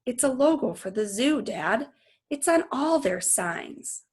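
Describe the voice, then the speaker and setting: Woman playing a young girl, storybook read-aloud, eager, pleading, earnest, raised pitch, unhurried. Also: sing-song, husky, full character voice. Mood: insistent. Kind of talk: children's novel read-aloud.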